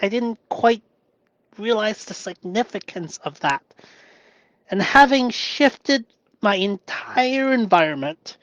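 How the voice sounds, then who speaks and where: Slow soft-spoken guy from hong kong, cantonese first language, halting and searching for words, dry close sound. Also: high voice, no echo, highs cut off. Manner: halting, searching for words, often asks "right?". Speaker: vlogger. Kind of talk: reflective personal monologue.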